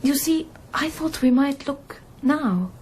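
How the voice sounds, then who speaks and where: A woman playing a warm, eager, persistent young woman, coaxing and earnest, in a radio drama. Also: high voice, expressive, slow. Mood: pleading. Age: young adult.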